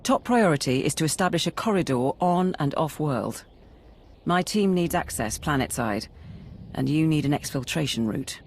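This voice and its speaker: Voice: medium pitch, expressive, moderate pace, a little hiss or hum, natural voice. An actor playing a methodical military adviser in a video game, giving a precise, businesslike briefing. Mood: focused briefing. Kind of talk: video game cutscene acting.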